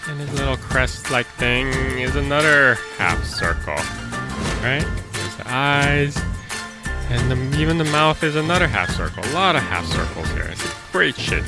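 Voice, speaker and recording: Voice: medium pitch, animated, moderate pace, over loud music. A storyteller and drawing teacher talking to children in an informal way, often thinking aloud.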